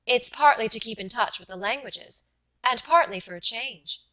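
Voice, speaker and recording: A woman narrator voices an educated Irish gentleman in an audiobook. He sounds polite but awkward and hesitant, always on the defensive. The voice has a bright, sharp edge. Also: fairly high pitch, normal speed, rough recording. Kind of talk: audiobook character dialogue.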